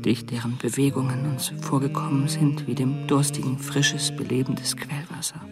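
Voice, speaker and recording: Actor as solemn, dutiful pupil over music. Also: male voice, medium pitch, little pitch movement, natural voice. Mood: solemn, grieving. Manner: solemn, measured, formal declamation. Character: earnest, stern, dutiful male pupil at a servants' school. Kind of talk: literary radio drama acting.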